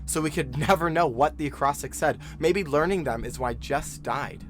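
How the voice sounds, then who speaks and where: A man's voice: fairly high pitch, normal speed, natural voice. A man acting in an audio drama as a bookish, insistent young male student with a pressing, controlling manner. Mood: urgent, persuasive. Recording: noticeable background noise and ambience.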